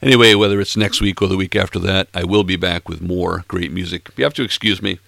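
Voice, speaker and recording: A man in his late sixties hosts a music podcast, chatting casually between tracks with playful, self-mocking asides. His voice sits very low with a gravelly edge and a bright, forceful tone, a little hoarse. The recording is dry and close. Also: steady tone, fast, no echo. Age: 60s. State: slightly hoarse, froggy throat.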